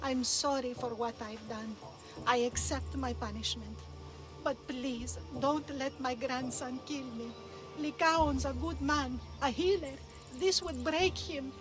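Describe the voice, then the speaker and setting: A woman's voice: high-pitched, measured pace. An actress playing a remorseful old grandmother and disgraced oracle in a video game, solemn and pleading. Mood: contrite, pleading. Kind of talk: dramatic video game cutscene acting. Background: music.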